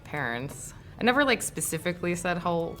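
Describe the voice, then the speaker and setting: A woman tells a story with hesitant pauses. Her voice sits low for a woman. The delivery is loose and casual. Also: sing-song, fairly bright tone, soft background music. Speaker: guest on a couples game show.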